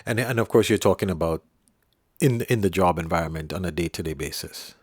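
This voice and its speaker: A man hosting an interview podcast, asking measured questions and affirming the guest with murmurs. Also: low-pitched, husky, no echo, clean studio sound. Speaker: podcast host.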